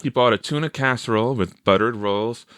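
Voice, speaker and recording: A man reading a story aloud as a scripted narration, with measured, clear phrasing. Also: medium pitch, a bit bright, no echo, clean studio sound. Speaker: audiobook reader.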